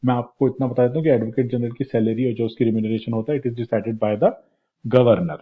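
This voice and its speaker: A slightly hoarse man with an Indian accent, teaching a quiz-style exam lecture and repeating questions in Hindi and English. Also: mid-range voice, fairly even intonation, a little fast. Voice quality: husky. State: slightly hoarse, sore throat. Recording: dull, lo-fi lecture audio.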